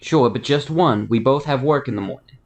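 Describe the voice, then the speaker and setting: A woman playing a dry, unimpressed office secretary in a comedic audio play, speaking in a deadpan, matter-of-fact way. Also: extremely low pitch, a little fast, slight background noise.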